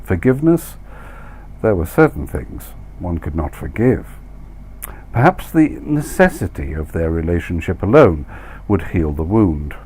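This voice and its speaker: A man with a fairly low voice narrates literary fiction in a measured, wry way. He leans on key words for dramatic effect, and there is some faint noise in the recording. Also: animated, darkish tone. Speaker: author reading own story.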